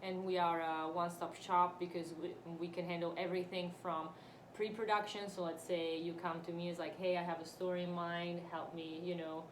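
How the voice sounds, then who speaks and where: An Italian-accented woman with a fairly low voice, talking in a lively, eager way and restarting her sentences often. She is recorded in an echoey room with steady background noise behind her. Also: brisk, few pauses. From Italy (Vicenza, Veneto). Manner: animated, restarts often, laughs easily. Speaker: guest, actor and film producer. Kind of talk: casual interview chat.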